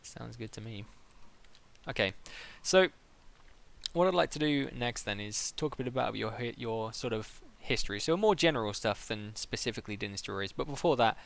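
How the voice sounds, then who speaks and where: A man's voice: medium pitch, expressive, normal speed, gravelly, a bit bright. A man hosting a casual live interview, speaking informally with stop-start phrasing as he steers the conversation. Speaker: interviewer, live stream host.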